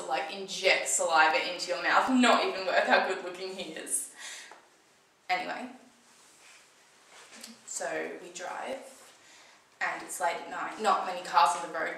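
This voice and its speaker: A young woman acting a conversational monologue as a shaken, defensive teenage girl, telling her story in colloquial, halting bursts. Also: lowish voice, pushed and bright, a little hiss or hum. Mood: subdued, uneasy. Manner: colloquial, swearing, halting then breathless retelling. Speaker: acting student performing a monologue. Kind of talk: dramatic screen-acting monologue, conversational first-person storytelling. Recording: echoey room, slightly distant microphone.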